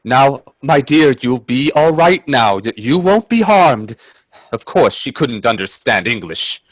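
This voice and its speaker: An actor playing a timid, wonder-struck gentleman companion in a radio play, with genteel, hesitant delivery. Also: medium pitch, very animated, a little slow, no echo, poor audio. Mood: nervous. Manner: hesitant, stammering in dialogue, genteel phrasing. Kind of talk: radio drama acting and first-person narration. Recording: husky dry close audio from video-call performance.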